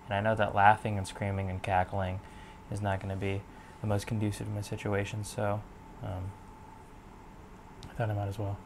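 Young man, somewhat low voice, hoarse, laid-back meandering podcast talk. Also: moderate pace, pauses often, a little hiss or hum, rough recording. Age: 20s. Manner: relaxed, meandering, many fillers. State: losing his voice. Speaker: podcast host. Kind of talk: casual solo podcast monologue. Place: lying in bed at home.

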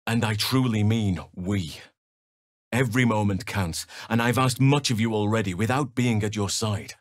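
An actor playing an earnest, battle-seasoned druid and protector of nature in a fantasy video game, speaking in a measured, solemn manner with formal, old-fashioned phrasing. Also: male voice, fairly low pitch, no room sound, clean studio sound, natural voice. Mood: grave, earnest.

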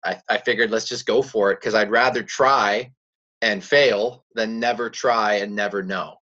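Raspy young man on a video call. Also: lowish voice, moderate pace. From Canada. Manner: relaxed, rambling, laughs easily. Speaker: country singer, guest. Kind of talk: casual interview chat. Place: small room at home. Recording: dull lo-fi video call audio.

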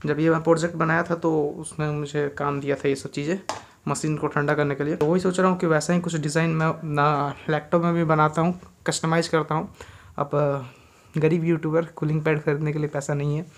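A man's voice: a little high, little pitch movement, a little fast. A man in his twenties in casual vlog talk to the camera, chatty and joking as he explains what he is doing, recorded on a phone held at arm's length in a small bedroom. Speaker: vlogger. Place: small bedroom at home, indoors.

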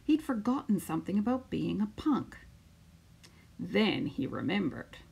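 A woman in her fifties with a creaky, slightly husky voice and a medium pitch. She speaks as a teacher does when reading a picture book to children, phrasing deliberately and leaning on key words. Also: big pitch swings, darkish tone. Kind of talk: children's storybook read-aloud.

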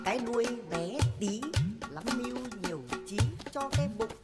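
A woman's voice: mid-range voice, lively intonation, normal speed, lightly acted. A woman voicing a cocky, scheming young male mouse in a cartoon, boastful and teasing. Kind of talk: cartoon dubbing. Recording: music behind the voice.

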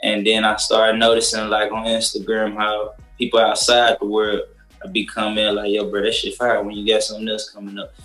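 A young man in his twenties talks in a low, flat, laid-back way, full of slang and fillers. He sits on a couch, and his voice reaches a distant camera through a roomy echo. Soft music plays underneath. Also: normal speed. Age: 20s. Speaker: rapper guest. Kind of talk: casual interview chat. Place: living room couch, indoors.

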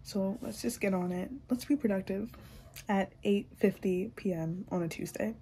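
Chatty, excitable young woman vlogger. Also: medium pitch, moderate pace, loud music behind the voice. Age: young adult. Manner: excitable, chatty, drops into whispers. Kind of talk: casual vlog chat.

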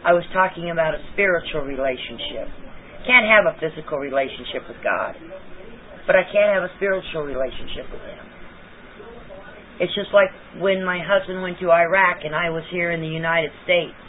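A woman chatting casually in an online study group, with a loud, carrying voice and her mic set far off on her desk. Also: lowish voice, moderate pace. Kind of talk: casual chat. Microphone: mic set far off at the end of her desk. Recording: tinny online voice chat.

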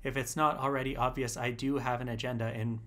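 A man's voice: medium pitch, little pitch movement, a little fast, fairly bright tone. A man reading his own essay aloud as scripted narration. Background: instrumental music.

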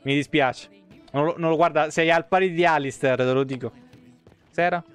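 A man's voice: fairly high pitch, normal speed, clean tone, faint music behind. A man in his thirties with a Roman Italian accent, giving casual, slangy, sweary live commentary on a video game. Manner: slangy, swearing, muttering asides. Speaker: game streamer. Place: small room, indoors.

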